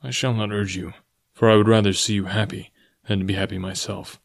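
Audiobook role: brooding, noble wild man, quiet, level, low-pitched, performed by a man. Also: level tone, brisk, creaky. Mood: resigned, bitter. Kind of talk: audiobook character dialogue.

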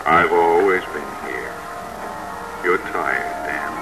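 Deep, slow, knowing visitor. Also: male voice, low-quality recording. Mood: calm, sympathetic. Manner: measured, quiet, gently probing. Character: calm, knowing male visitor, like a voice of conscience. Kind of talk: old-time radio drama acting. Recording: old radio broadcast, music behind.

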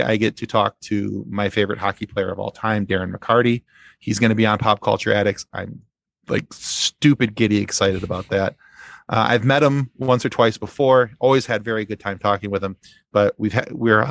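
A man's voice: fairly low pitch, creaky, no room sound. A man talking casually as a guest on a podcast, earnest and effusive.

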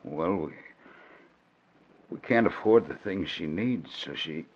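Low-voiced bitter, ruined man. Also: animated, takes it slowly, slightly rough, heavily acted. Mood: despairing. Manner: defeated, embittered, emphatic. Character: bitter, despairing man ruined by crooked gambling. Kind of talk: 1940s radio drama acting. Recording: dull old radio recording.

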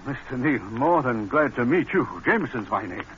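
An actor playing a hearty, insistent elderly millionaire in a 1940s radio drama, genial and a little halting. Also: male voice, mid-range voice, expressive, lightly acted. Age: older. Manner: hesitant fillers, genial, insistent. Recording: muffled old radio with faint noise.

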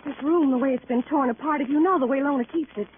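An American actress playing an anxious, distraught young working woman in a 1940s radio drama, speaking in halting, emotional, repeated phrases. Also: sits high, natural voice. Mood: distraught, pleading. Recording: old radio recording, narrow band, hiss.

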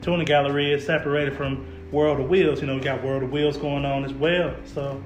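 A man's voice: medium pitch, level tone, a little fast, echoey room, soft background music, poor audio. A young man hosting a casual car podcast, talking in easy slang, repeating words and laughing often. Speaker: podcast host, local car photographer. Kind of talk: casual chat.

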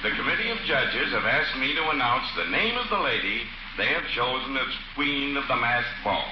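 A ceremonious announcer addressing a ball in 1940s radio acting, played by a man. His formal voice swings widely in pitch and echoes. The recording is muffled. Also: animated, normal speed, noisy background, natural voice.

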